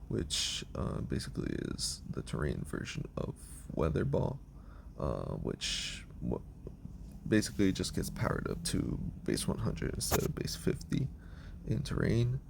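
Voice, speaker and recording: Raspy, gravelly man losing his voice. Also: low-pitched, wildly swooping pitch, unhurried, a little mellow, slight background noise. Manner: rambling, lots of ums and restarts. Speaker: video creator explaining his team. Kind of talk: casual team breakdown.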